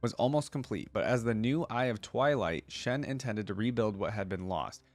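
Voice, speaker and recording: A man hosting a reaction video, mixing casual commentary with reading aloud and thinking things through as he goes. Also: fairly low pitch.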